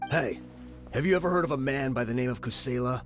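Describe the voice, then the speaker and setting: A man's voice: mid-range voice, quick pace, close to an everyday voice. An actor playing a hostile male mercenary leader who talks in a mocking, scornful way. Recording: game audio with music behind.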